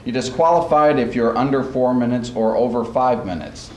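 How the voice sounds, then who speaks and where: A man's voice: medium pitch, normal speed. Echoey recording of a man hosting. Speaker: speech coach hosting a student showcase. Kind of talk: informal introduction to a live audience. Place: large room at a school event. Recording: echoey, noisy archive recording of live event.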